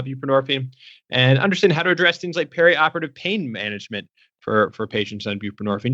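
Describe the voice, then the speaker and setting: A man's voice: medium pitch, pushed and bright. A man co-hosting a medical podcast, talking in an enthusiastic, joking way. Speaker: podcast co-host, physician. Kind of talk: casual podcast banter. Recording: slightly dull audio.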